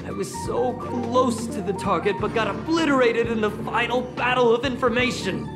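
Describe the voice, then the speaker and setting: A woman voicing an earnest, excitable young investigator in a sci-fi video game, expressively thinking aloud. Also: fairly high pitch, moderate pace, close to an everyday voice. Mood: curious, analytical. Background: background music.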